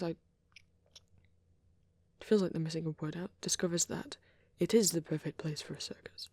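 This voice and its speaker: A performer voicing a shy, gentle young vampire boyfriend in an ASMR roleplay, with a soft, hesitant, often whispered delivery. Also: mid-range voice, gravelly, slightly rough, dry recording. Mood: quietly musing. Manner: hesitant, halting, murmured musing.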